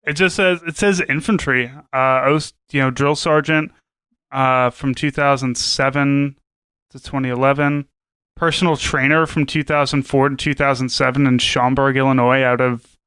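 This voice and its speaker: A man's voice: mid-range voice, fairly even intonation, normal speed, a bit bright, smooth, no room sound. A man co-hosting a podcast, giving casual commentary in a rambling, wry, sweary way. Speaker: podcast co-host.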